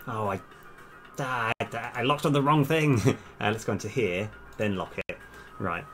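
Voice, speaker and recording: A man's voice: medium pitch, a little slow, a bit bright, faint music behind. A man doing casual live-stream commentary as a game developer, thinking aloud in a hesitant, self-correcting way.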